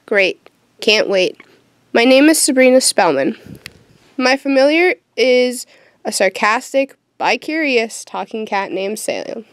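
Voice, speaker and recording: A young woman playing a sardonic, troubled teenage witch in an audio drama, with a wry, deadpan manner. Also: fairly high pitch, a little slow, smooth, dry recording. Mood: wry, frustrated. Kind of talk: audio drama acting with first-person narration.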